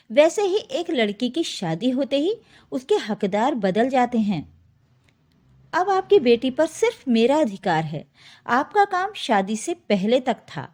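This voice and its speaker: Woman acting a brazen, possessive husband, high-voiced. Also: darkish tone, heavily acted. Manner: defiant, shameless.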